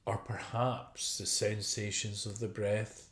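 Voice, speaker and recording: A man leading a guided meditation as a teacher, speaking gently and unhurriedly with long pauses. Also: lowish voice, flat delivery. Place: indoor room. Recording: roomy, echoey video call recording.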